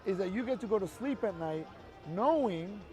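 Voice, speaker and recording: A man's voice: high-pitched, animated, normal speed, over music. A man with an earnest, emphatic way of talking, making his case to a passerby outdoors on a city street. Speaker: street activist. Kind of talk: persuasive street conversation.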